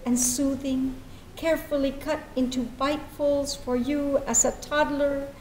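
Middle-aged woman, measured poetry recital, high pitch, echoey room. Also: a little mellow. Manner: measured, deliberate phrasing. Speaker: poet. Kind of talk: dramatic poetry reading. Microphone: lectern gooseneck microphone.

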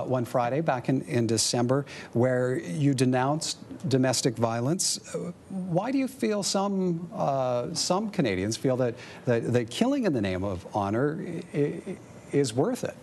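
A man in his forties hosting a TV panel in a studio, asking questions haltingly with many fillers. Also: mid-range voice, a little mellow, slight background noise. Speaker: TV host. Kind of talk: moderating a panel.

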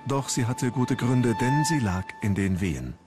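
A man's voice: mid-range voice, lively intonation, moderate pace, music in the background. A man reading scripted documentary narration as the narrator of a history programme.

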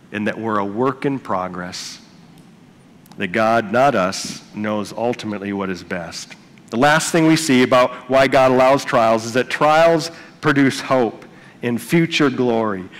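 A pastor in his forties preaches with a mid-pitched voice, pushing louder on his key points before sinking to near-quiet lines. He speaks from a church stage through a headset mic, and his voice rings out with a long reverb off the hall. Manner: earnest preaching, swelling louder on key points then dropping low. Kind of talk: sermon.

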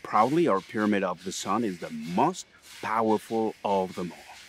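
Male actor as mystical shaman, lively melodic range, measured, solemn, audio drama. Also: fairly low pitch, slight background noise, close to an everyday voice. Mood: friendly, proud. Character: earnest Mexican shaman and history teacher, male.

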